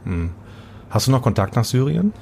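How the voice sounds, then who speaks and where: A man hosting a podcast, chatting in a relaxed, conversational way. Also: mid-range voice, normal speed, gravelly, slight background noise. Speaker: podcast host. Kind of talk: casual podcast chat.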